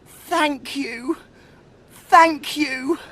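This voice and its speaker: A man's voice: very high-pitched, drawn-out words, fairly bright tone, pitched above the performer's own voice, heavily acted. A man voicing a sad, humble, frightened young boy in a fairy tale, earnest and plaintive. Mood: grateful.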